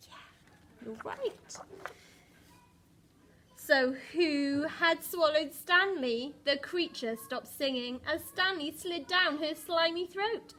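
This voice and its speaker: A woman's voice: high voice, slow pace, bright, forceful tone. A woman primary school teacher reading a picture book expressively to young children, with dramatic emphasis and questions to the listeners.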